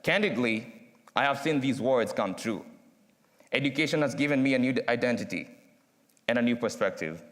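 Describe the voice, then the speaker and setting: A young man with a Kenyan accent delivers a prepared student speech in an earnest, measured way, leaning on dramatic pauses. His voice sits at a middle pitch for a man. The sound carries the long reverb of a large university chapel. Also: lively intonation, a bit bright. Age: young adult. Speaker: student speaker. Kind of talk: prepared speech read aloud.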